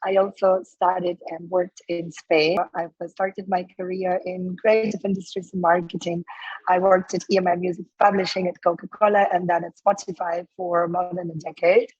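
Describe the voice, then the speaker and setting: A woman in her thirties from Ukraine, hoarse and losing her voice, talking casually as a guest with many fillers and restarts. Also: lowish voice, flat delivery, moderate pace, darkish tone, dry recording, lo-fi sound. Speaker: guest, startup co-founder. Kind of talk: casual interview answers.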